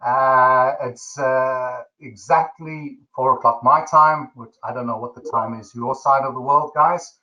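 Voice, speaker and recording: A man's voice: medium pitch, fairly even intonation, a little slow, few pauses, pushed and bright, muffled recording. A man with a South African accent hosting a webinar, chatty and jokey with lots of fillers. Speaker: webinar host.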